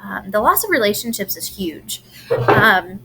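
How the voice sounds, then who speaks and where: A woman giving a recorded lecture presentation, unhurried with frequent "um"s and restarts, her voice a little strained from allergies. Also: mid-range voice, big pitch swings, creaky, noisy background. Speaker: presenter on grief and incarceration.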